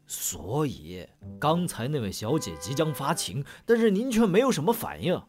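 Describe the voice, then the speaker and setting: A man's voice: on the high side, wildly swooping pitch, normal speed. A man playing a polite, fussy scientist who explains things formally and with awkward tact in an audio drama. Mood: awkward, tactful. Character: polite, fussy male scientist acting as matchmaking consultant. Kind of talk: Chinese audio drama acting. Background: music.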